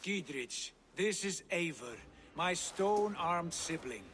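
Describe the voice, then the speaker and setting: An actor playing a proud, boastful Viking lord in a video game, fiery and declamatory with archaic phrasing. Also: male voice, high voice, animated, takes it slowly, a bit bright, slight background noise, full character voice. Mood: commanding.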